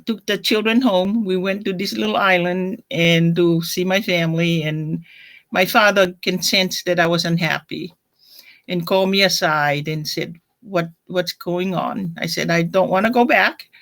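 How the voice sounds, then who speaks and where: An older woman from Tonga with a Tongan accent, telling her personal story in an interview in a reflective, halting way. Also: on the low side, fairly even intonation, a bit bright. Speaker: deacon, interview guest.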